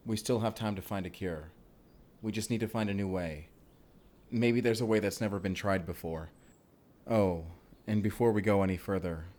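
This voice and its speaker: A man's voice: low-pitched, darkish tone, natural voice. A man playing an earnest, tender and tormented Spanish vampire slayer with a Spanish accent, speaking intimately as in a romantic audio roleplay. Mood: tender, relaxed.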